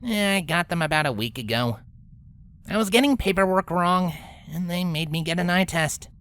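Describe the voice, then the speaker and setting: A woman's voice: lowish voice, lively intonation, normal speed, fairly bright tone, dry recording, clean studio sound. A woman voicing a brash, sulky young female cartoon pegasus who grumbles in cocky slang. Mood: casual, stubborn. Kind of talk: character acting in a fan-fiction story reading.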